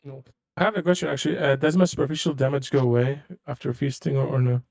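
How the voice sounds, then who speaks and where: A man's voice: medium pitch, fairly even intonation, fast, vocal fry, a little mellow. A man playing a tabletop roleplaying game, talking casually as a player. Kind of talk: casual rules questions during play.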